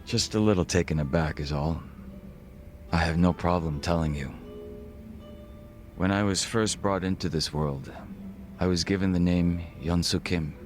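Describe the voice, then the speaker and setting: A man voicing a refined, reserved gangster in a video game cutscene, speaking in formal, measured, polite phrases. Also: sits low, faint music behind, natural voice. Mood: courteous, calm. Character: refined, reserved Korean gangster, a former body double.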